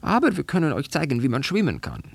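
A helpful, clueless little noodle creature from a storybook, acted by a man, speaking high and friendly. The manner is simple and the intonation lively. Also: quick pace, vocal fry, breathy edge, no echo, exaggerated voice. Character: helpful but clueless spinach and shell noodles.

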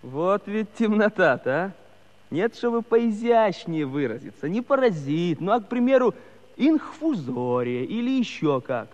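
An actor playing a cocky, teasing young Cossack village lad who talks with mocking, pompous airs in a theatrical comedy style. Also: male voice, high voice, sing-song, measured pace, a little mellow. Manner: mocking, pompous mock-elegant talk. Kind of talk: theatrical musical comedy acting. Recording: dull old recording, long reverb.

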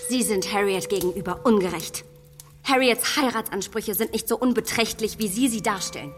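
An actress playing a clever, headstrong young matchmaker in a radio play, arguing in a spirited, defiant way. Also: female voice, medium pitch, moderate pace, long reverb tail, soft background music, natural voice.

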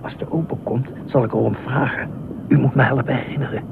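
An actor in a Dutch radio play as an anxious, obsessive widowed ex-fireman who speaks haltingly, repeats himself and nitpicks. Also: steady tone, creaky, natural voice. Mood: earnest, rambling. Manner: halting, repetitive, pedantic, fretful. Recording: muffled narrow-band old radio recording, faint rumble.

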